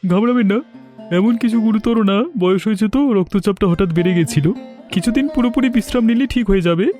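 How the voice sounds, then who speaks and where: A man voicing a stern but reassuring village doctor in an animated story. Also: high-pitched, fast talker, faint music behind, close to an everyday voice. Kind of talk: animated family story dialogue.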